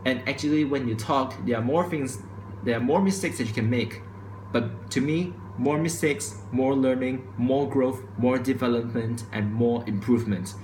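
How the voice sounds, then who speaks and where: A young man, a student, giving his casual opinions to a camera. He stutters and restarts his sentences, and says he talks too quickly. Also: mid-range voice, darkish tone, slight background noise. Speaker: student vlogger. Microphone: camera microphone. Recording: echoey room with faint ambience.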